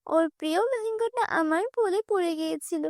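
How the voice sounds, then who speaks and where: A woman voicing a kind, gentle young heroine in a cartoon, speaking softly and earnestly. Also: extremely high pitch, brisk, dry recording, clean studio sound. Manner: soft, earnest. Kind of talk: cartoon dubbing.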